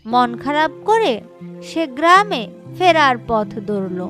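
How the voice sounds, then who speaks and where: A woman's voice: sits high, very animated, slow pace, bright, forceful tone. A woman narrating a scripted children's story as a storyteller. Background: background music.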